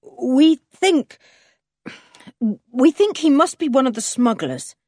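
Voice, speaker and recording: A woman narrator takes on a polite older boy in a storybook, sensible and awkward. The voice sits higher, slightly husky, with careful, hesitant, apologetic phrasing. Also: big pitch swings, measured pace, a little mellow, no room sound, studio quality, full character voice.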